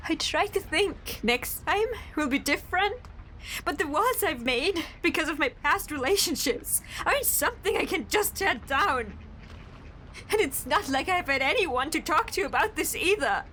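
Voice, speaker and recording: Radio drama role: obsessive, defensive young woman played by an actress, dramatic highish voice, faint noise behind. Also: animated, moderate pace, sharp tone. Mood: hurt, bitter. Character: volatile, obsessive young woman in a failing romance.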